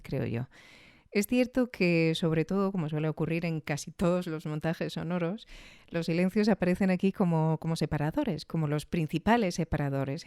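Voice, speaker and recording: A woman podcast host talks reflectively in a dark, mellow voice. She thinks her way through short, halting phrases and checks in with small tag questions. The sound is dry, with no room on it. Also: sits low, smooth, dry recording. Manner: thoughtful, halting phrases with rhetorical tags. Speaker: host of a podcast on audio fiction. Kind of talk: reflective spoken review.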